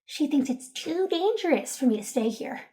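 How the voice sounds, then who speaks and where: A teenage girl, high-pitched, earnest, hesitant, tender with a defiant streak, audio drama dialogue. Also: lively intonation, moderate pace, mellow. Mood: subdued, honest. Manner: hesitant, earnest, stammering when flustered. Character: Jude, sheltered church girl turned defiant, tender teenager.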